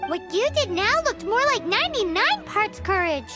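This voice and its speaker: Very high, squeaky little fairy. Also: female voice, big pitch swings, normal speed, loud music behind the voice. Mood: smug, curious. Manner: squeaky, speaks of herself in third person. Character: small excitable childlike floating fairy companion, female. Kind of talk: video game voice acting.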